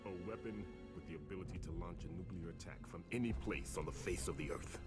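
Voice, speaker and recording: An actor playing a weary, wary older government chief held captive, speaking dramatically in a video game. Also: male voice, low voice, fast, close to an everyday voice. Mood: grave, revealing. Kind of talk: video game cutscene acting. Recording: music underneath. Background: music.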